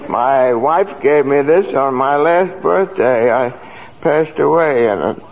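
Radio play angel: elderly, naive, polite, hesitant, unhurried delivery, thin vintage sound. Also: male voice, mid-range voice, animated. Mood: chatty, innocent. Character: mild, innocent elderly male apprentice angel, eager and polite. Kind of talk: 1940s radio drama acting. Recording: tinny vintage radio broadcast recording.

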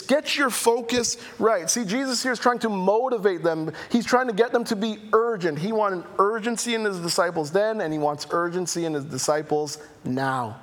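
A man preaching a sermon, reading scripture aloud and explaining it with animated emphasis and playful asides. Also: high voice. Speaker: pastor. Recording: reverberant hall.

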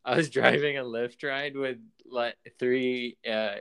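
A man's voice: medium pitch, steady tone, unhurried, fairly bright tone, smooth. A man hosting a podcast in casual chat, rambling and often restarting his sentences. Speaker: podcast host.

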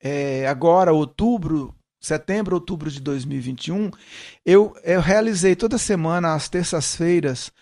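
Middle-aged male interview guest, Brazilian Northeast accent mellowed by São Paulo, fairly high register, repeats words while explaining. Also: moderate pace, darkish tone. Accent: Northeastern Brazilian, softened by São Paulo. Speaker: guest, musician. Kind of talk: casual interview conversation.

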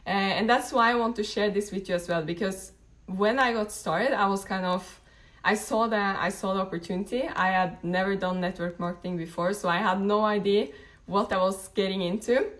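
A young woman talks loosely to her live-stream viewers. She leans on fillers and restarts often, and her pitch sits in an ordinary middle range for a woman. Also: steady tone, moderate pace, a bit bright. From Norway. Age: late 20s. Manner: chatty, rambling, frequent fillers and restarts. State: a bit tired, just woken up. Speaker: network marketing team leader. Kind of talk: casual live-stream talk to an audience.

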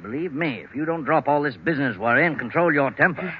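An actor playing a genial but frank family doctor in an old-time radio drama, cajoling and teasing yet firm. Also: male voice, a little high, expressive, quick pace, a little hiss or hum, natural voice. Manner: chuckling, cajoling. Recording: muffled old radio recording.

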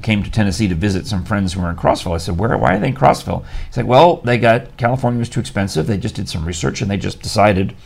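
A man's voice: fairly low pitch, fast, gravelly, few pauses, slightly rough. A man hosting a morning talk show, joking and laughing often as he rambles through asides. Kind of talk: casual studio banter.

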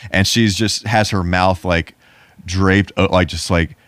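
A man with a low voice talks casually as a podcast co-host. His delivery is easygoing and laid-back, recorded close and dry with very little room sound. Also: fairly even intonation, a little slow, a bit bright, dry recording. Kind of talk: casual chat about movies.

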